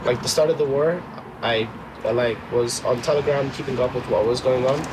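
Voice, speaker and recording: A young man of twenty with a Dublin accent gives an informal interview as a soldier. His pitch is average and his phrasing halting, with clear ambient noise around him. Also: fairly even intonation. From Dublin, Ireland.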